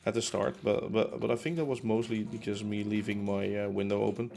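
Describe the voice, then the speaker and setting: Young guy on a home stream, deepish voice w some fry, lots of ums and restarts. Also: fairly even intonation, a little fast, darkish tone. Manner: rambling, many fillers and restarts. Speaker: streamer building in a game. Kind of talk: casual chat while building. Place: home room in a concrete apartment.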